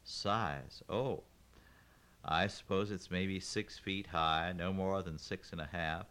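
A man's voice: deep voice, slow, fairly bright tone, clean tone. A man doing an exasperated farm wife. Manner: exasperated, sarcastic. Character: middle-aged farm wife, exasperated and sarcastic.

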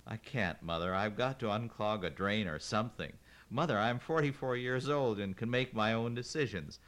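A man's voice: lowish voice, moderate pace, a bit bright, lightly acted. A man voicing a middle-aged farm wife who is exasperated and sarcastic, in a read-aloud story. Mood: firm.

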